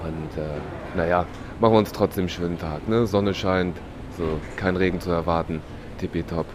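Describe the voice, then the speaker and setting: A man hosting a podcast, talking in a relaxed, chatty way with slang and fillers while he has a cold. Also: low voice, normal speed, noisy background. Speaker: podcast host. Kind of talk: casual podcast chat.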